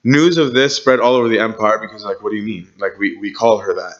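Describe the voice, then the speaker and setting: A man's voice: fairly low pitch, fairly even intonation, fast, a bit bright, dull audio. Conversational man teaching church history. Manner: conversational, frequent "okay?" and "right?" check-ins. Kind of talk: informal church history lecture.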